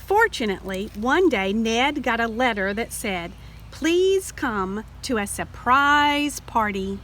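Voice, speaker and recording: A woman reads a storybook aloud for young children in a fairly high voice. Her pace is very slow and explanatory, with expressive weight on key words. A little noise sits behind her. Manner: explanatory, addressing young listeners directly. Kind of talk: read-aloud picture book narration.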